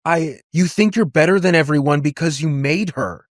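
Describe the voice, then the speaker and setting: A man's voice: on the high side, moderate pace, no room sound, studio quality, pitched above the performer's own voice, natural voice. A man voicing a sullen, touchy young girl in an audiobook, with a curt, accusatory manner. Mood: angry. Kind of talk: audiobook character dialogue.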